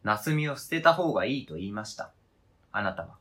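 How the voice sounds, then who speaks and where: A man's voice: on the low side, expressive, brisk, bright, forceful tone. A man acting in a radio drama reading as a wounded, indignant adult who argues with cold, pedantic logic and interrogates relentlessly. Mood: stern, interrogating. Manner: pedantic, interrogating, formal argumentative phrasing.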